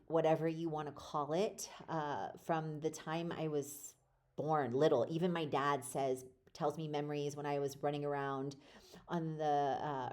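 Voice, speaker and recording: A woman's voice: low voice, a little mellow. A woman hosting a podcast, telling her own life story in a rambling, reflective way, with many fillers and restarts. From born in Poland, raised in the United States. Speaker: podcast host. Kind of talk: casual personal storytelling.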